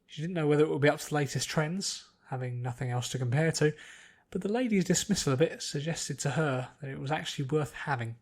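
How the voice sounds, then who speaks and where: A man's voice: a little high, fast. A man reading scripted fantasy fiction aloud as its narrator.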